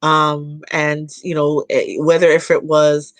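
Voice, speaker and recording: A woman guest in a casual podcast conversation, speaking in a thoughtful, halting way with frequent fillers and restarts. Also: low voice, monotone, no echo.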